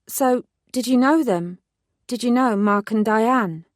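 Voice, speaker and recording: A woman's voice: on the high side, slow pace, dry recording, clean studio sound, pitched above the performer's own voice. A woman voicing a careful, determined young woman police officer in a read-aloud story. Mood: guarded.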